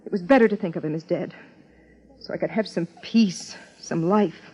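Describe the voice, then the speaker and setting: An actress playing a guarded, fragile young wife in 1950s radio drama style, breathy and defensive. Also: female voice, fairly low pitch, moderate pace, darkish tone. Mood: troubled, guarded. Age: young adult. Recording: dull, band-limited 1950s radio recording.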